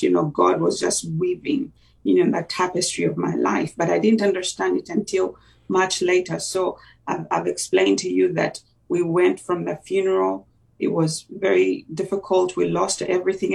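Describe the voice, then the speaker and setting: A woman's voice: on the low side, creaky, a little mellow, distant mic, low-quality recording. A woman from Uganda, a native Luganda speaker, telling her life story reflectively with many "you know" fillers. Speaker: guest sharing testimony. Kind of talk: personal testimony in conversation.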